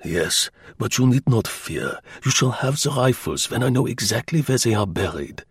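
A man voicing a podgy, aggrieved agent in an audiobook, with an emphatic, slow, pleading delivery. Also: mid-range voice, lively intonation, breathy edge, no echo, clean studio sound. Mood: eager, scheming. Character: podgy, aggrieved, wheedling male German agent.

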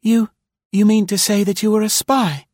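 A man's voice: fairly high pitch, normal speed, no echo, studio quality, pitched above the performer's own voice, natural voice. A man voicing a frightened young woman who speaks with earnest, dramatic feeling, by turns pleading and firm. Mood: shocked.

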